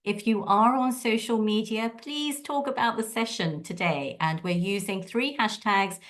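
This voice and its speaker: A woman at a mid pitch gives housekeeping notes for an online event over a video call. She is friendly and fills her pauses with many ums. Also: a bit bright. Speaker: event co-host. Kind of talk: housekeeping announcements.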